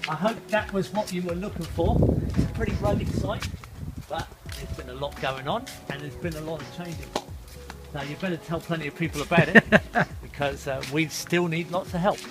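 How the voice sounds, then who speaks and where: A man's voice: a little high, moderate pace. A man chatting casually as the host of a site. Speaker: site host being interviewed. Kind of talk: casual chat. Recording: very loud music over the voice.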